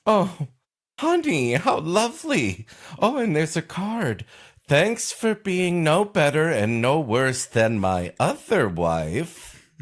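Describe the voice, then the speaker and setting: A man voicing a sweet, gushing young wife in a high, sing-song put-on voice for a comedic story reading. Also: very animated, slow pace, exaggerated voice. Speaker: podcast host.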